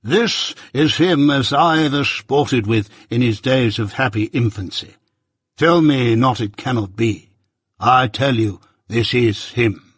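A man voicing a pompous, self-righteous older merchant who lectures slowly and loudly in a raised, husky voice. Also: mid-range voice, no echo, clean studio sound. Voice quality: pompous, preaching, drawn-out emphasis.

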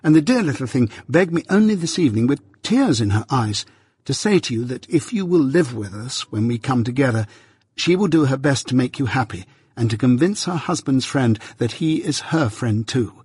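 A man putting on an eager, cordial young gentleman. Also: medium pitch, expressive, moderate pace, husky, no room sound, studio quality. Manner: eager, cordial, brightening on endearments. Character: warm affectionate young Victorian gentleman friend.

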